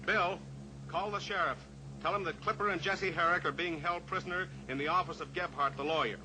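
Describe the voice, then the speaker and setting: An actor playing a steely heroic cowboy pilot in an old-time radio drama, measured, taunting and authoritative. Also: male voice, high voice, steady tone, natural voice. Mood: urgent, businesslike. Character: steely heroic cowboy pilot, coolly pressuring a captive killer. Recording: noisy old radio recording, engine drone.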